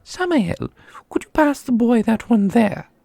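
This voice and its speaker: A woman playing an ancient custodian and combat mentor in an ASMR roleplay, doting and sharply sarcastic, with a husky, creaky voice. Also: fairly high pitch, sing-song, a little slow, warm tone, no echo, full character voice. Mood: doting, instructive. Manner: theatrical, teasing, instructive. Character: millennia-old custodian mentor, doting on pupil, witheringly sarcastic.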